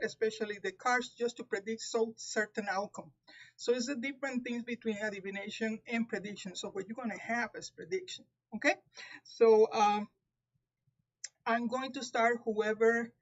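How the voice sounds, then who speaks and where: A woman's voice: a little high, highs cut off. A woman with a Puerto Rican accent, a native Spanish speaker, giving a live tarot reading, talking carefully with frequent restarts. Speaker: tarot reader.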